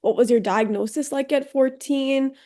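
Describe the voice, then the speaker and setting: A woman hosts a podcast with a high, mellow voice. She is upbeat and friendly as she reads the intro and asks questions. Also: normal speed. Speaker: podcast host.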